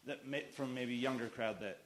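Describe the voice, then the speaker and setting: A man in the audience with a loud voice, asking a rambling, hesitant question. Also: mid-range voice, moderate pace, slight background noise.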